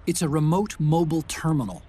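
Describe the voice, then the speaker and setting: A man's voice: a little high, expressive, a little fast, mellow, slight background noise, natural voice. An actor playing an earnest, brainy male engineer in a video game, explaining things in an articulate, friendly way. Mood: earnest, informative. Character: Otacon, earnest brainy male tech engineer, mission support. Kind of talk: video game cutscene acting, mission briefing.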